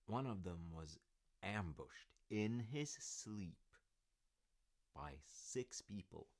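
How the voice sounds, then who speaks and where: A man playing a warm, rambling father in an audio roleplay, telling stories haltingly with wry asides to his child. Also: on the low side, animated, no echo, clean studio sound, heavily acted. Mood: wry, amused. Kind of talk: audio roleplay, intimate storytelling.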